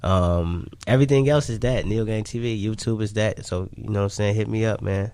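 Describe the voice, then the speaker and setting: Low-voiced man, casual and slangy. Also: brisk, a little mellow, clear, resonant tone, no echo. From Chicago, United States. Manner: informal, slangy, repeats himself. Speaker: musician guest, rapper and songwriter. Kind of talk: casual radio interview chat. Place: radio studio.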